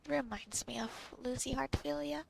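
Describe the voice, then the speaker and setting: A woman's voice: high-pitched, fairly even intonation, normal speed, creaky, slight background noise. A woman giving casual, reactive game commentary, reading lines aloud and murmuring informal, half-whispered asides. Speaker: gamer recording a playthrough. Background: game music.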